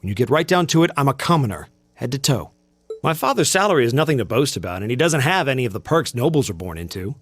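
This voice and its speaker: A man's voice: mid-range voice, big pitch swings, fast, slightly rough, no echo, soft background music. An actor playing a proud, easily indignant young male student in a video game, speaking in an earnest, defensive and precise way. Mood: contrite, earnest.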